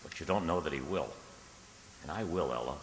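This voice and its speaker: A man's voice: rumbling low, brisk, slight background noise. A man acting an anxious, lovelorn man in a radio drama, earnest and pleading, with hesitant, stammering speech. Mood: anguished pleading. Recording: long hall reverb, music underneath.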